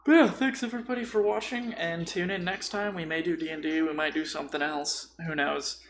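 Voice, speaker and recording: A man with a slightly high, creaky voice co-hosts a casual podcast. He talks in slangy, jokey, sometimes sweary banter. There is clear noise behind him. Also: fairly even intonation, fairly quick. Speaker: podcast co-host. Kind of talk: casual chat. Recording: noisy room recording. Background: clear background noise.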